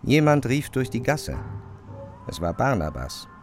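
A man narrating a literary radio play in a measured, calm storytelling voice. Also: medium pitch. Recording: music clearly behind.

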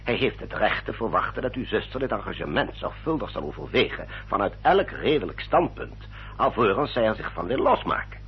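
A man playing an older, formal Victorian gentleman adviser in a Dutch radio drama, rough-voiced, deliberate and emphatic. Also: fairly low pitch, big pitch swings, exaggerated voice. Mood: earnest, persuasive. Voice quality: husky, rough. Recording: dull, muffled old radio recording.

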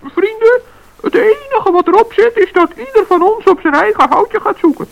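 A kind-hearted little forest gnome in an old radio play, speaks in a very high, gravelly squeak. The recording is dull and lo-fi. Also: male voice, expressive, moderate pace, exaggerated voice. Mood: earnest.

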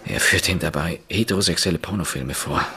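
A rattled journalist in a radio crime play. His voice sits very low and has a gravelly edge. He talks nervously and then tells a long story with energy. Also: fairly quick, sharp tone, exaggerated voice. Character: shaken journalist Pit Kern, beaten up. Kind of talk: radio drama acting.

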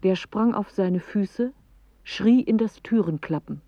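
An actress playing a clever, dreamy woman who recites a poetic radio play monologue in measured, declamatory phrases. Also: medium pitch, mellow, dry recording, dull audio. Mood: contemplative. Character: clever woman musing in riddles, dreamy storyteller of images.

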